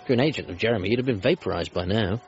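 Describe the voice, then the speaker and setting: An actor playing a kindly, bereaved grown son who talks casually and with wry resignation in a radio comedy drama. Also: male voice, on the low side, rapid-fire, close to an everyday voice. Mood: relieved, wry. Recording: muffled broadcast, faint music.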